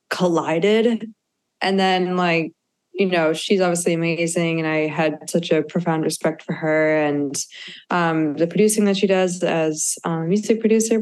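A woman's voice: lowish voice, clear, resonant tone. A woman guest DJ chatting in an interview, gushing and loose, full of "um" and "like" fillers. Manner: warm, gushing, many "um" and "like" fillers. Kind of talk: casual interview chat.

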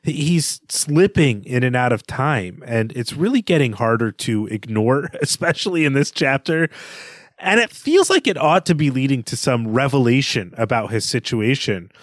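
Male literary podcast co-host, middle pitch, reflective, picks his words carefully, dry close recording. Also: big pitch swings, moderate pace, no room sound. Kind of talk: literary discussion.

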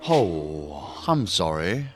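An actor playing a nervous, apologetic rookie security officer in a science fiction audio drama, flustered and deferential. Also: male voice, low-pitched, big pitch swings, very slow, over music, exaggerated voice.

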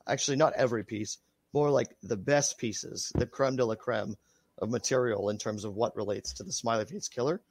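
A man speaking as a researcher guest in a casual interview, his voice raspy from being sick, hesitant with many fillers. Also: mid-range voice, brisk, dry recording.

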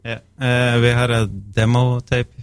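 Slow, flat-voiced man, terse answers. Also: mid-range voice, clear, resonant tone, no room sound. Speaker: band member guest. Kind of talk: casual radio interview.

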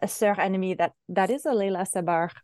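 A woman's voice: mid-range voice, quick pace, a crowd in the background. A woman talking as a guest about a book she co-edited, thoughtful and hedging, with many fillers. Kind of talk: casual academic conversation.

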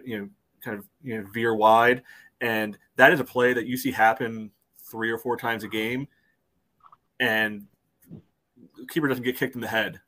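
A podcast co-host arguing a soccer call, with "you know" between nearly every phrase. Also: male voice, fairly low pitch, fairly bright tone. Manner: loose, fills with "you know" often. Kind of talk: casual sports debate.

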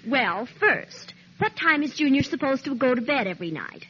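A woman's voice: high voice, big pitch swings, normal speed, sharp tone, full character voice. An actress playing a prim, precocious, bossy twelve-year-old girl with a haughty, formal manner in old radio sitcom style. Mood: smug, imperious. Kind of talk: 1940s-50s radio sitcom acting. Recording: dull hissy old radio recording.

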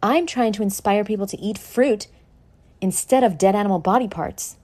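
A woman hosting an informal motivational podcast, brash and sweary, talking straight at the listener with dramatic, drawn-out emphasis. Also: mid-range voice, a little mellow. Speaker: podcast host. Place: at home in a condo.

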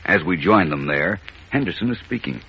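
A man's voice: low-pitched, lively intonation, normal speed. An American man narrating a scripted 1940s radio serial in a dramatic, formal manner. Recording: muffled, hissy old radio broadcast.

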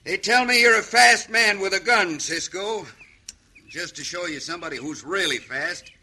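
An actor playing a cocky, murderous outlaw gang boss with a Western drawl and taunting, folksy menace, in an old-time radio western. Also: male voice, high-pitched, normal speed, bright, forceful tone, heavily acted. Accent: American Western drawl. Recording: faint noise, old radio recording.

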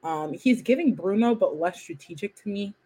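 This is a woman whose first language is French, talking casually on a podcast. She is playful and animated and acts out quotes. A faint hiss of noise sits under her voice. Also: medium pitch, moderate pace, rough recording. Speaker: podcast co-host. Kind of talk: casual chat.

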